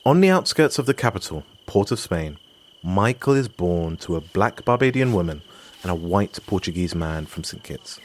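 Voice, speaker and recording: A man's voice: low-pitched, sing-song, moderate pace. A man from Morocco hosting a podcast, giving scripted documentary narration in an emphatic, personal storytelling manner. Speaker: podcast host.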